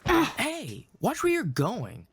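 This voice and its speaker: A man's voice: extremely high pitch, wildly swooping pitch, takes it slowly, a bit bright, husky, exaggerated voice. A man playing a boastful, irritable teenage boy in an audio drama. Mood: annoyed. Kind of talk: acted audio drama dialogue.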